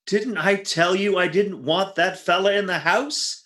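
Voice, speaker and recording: A man's voice: high-pitched, unhurried, fairly bright tone, slight background noise. A man playing a jealous, exasperated, wisecracking husband in old-time radio comedy, grumbling and sarcastic in an echoing room. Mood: grumbling, sheepish.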